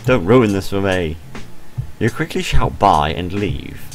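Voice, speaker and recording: A British man with a deep voice reads a visual novel aloud. His delivery swings widely in pitch, with wry, sarcastic asides, and game music plays behind him. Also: unhurried. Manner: expressive, deadpan sarcastic asides. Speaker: let's play host.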